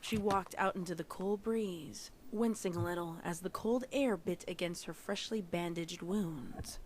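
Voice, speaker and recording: A woman's voice: on the low side, slight background noise. A woman narrating a story in a scripted fan fiction reading.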